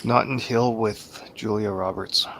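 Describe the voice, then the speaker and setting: A man's voice: on the low side, steady tone, unhurried, creaky, a bit bright, rough recording. A man chatting casually as a panelist on a film review. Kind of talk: casual chat. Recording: faint background noise.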